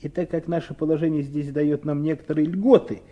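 A man's voice: on the high side, fairly even intonation, a little fast, dark tone, highs cut off, lightly acted. An actor in a 1970s Soviet radio play playing a stern, impatient husband and businessman with an insistent, scolding manner. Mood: explaining, insistent.

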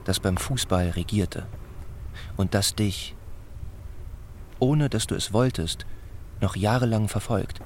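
A man narrating a literary radio play about village life in a sombre, measured, deliberate way. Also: on the low side, slight background noise. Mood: sombre, wry.

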